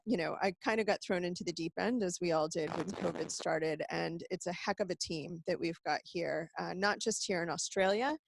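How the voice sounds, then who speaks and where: A woman's voice: fairly low pitch, dry recording. An American woman, an executive director, giving a conversational and earnest webinar briefing to supporters from an office, with some fillers and restarts. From United States.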